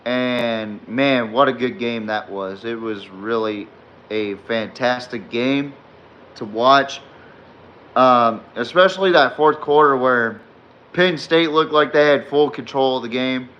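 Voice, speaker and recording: Hoarse, slow-talking man hosting a sports podcast. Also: medium pitch, muffled recording, a little hiss or hum. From Texas fan, not necessarily from Texas. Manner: rambling, filler-heavy, reads and answers chat comments. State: slightly hoarse. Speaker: podcast host. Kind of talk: live-streamed sports podcast recap.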